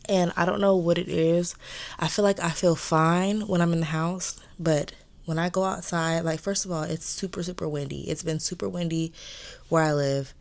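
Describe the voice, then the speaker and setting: A woman's voice: fairly low pitch, fairly even intonation, normal speed, creaky. A young woman vlogging in a casual, chatty way, sounding congested and stuffy, rambling and repeating herself. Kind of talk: casual vlog chat.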